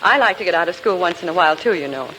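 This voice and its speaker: An American woman playing a gracious, tactful schoolteacher, kindly and gently playful, in a 1940s radio comedy. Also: lowish voice, animated, rapid-fire, rough recording. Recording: old radio recording, faint hiss.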